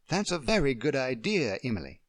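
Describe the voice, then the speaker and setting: A man reading a story aloud, voicing a kind, playful young woman who talks gently and plays along with a child's pretend game. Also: medium pitch, sing-song, a little fast, no room sound, clean studio sound, voice pushed up. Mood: gentle.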